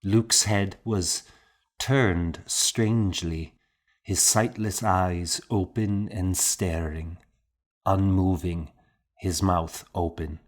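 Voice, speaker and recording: A man reading a historical novel aloud in a measured, solemn, first-person storytelling manner. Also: low-pitched, steady tone. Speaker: audiobook narrator.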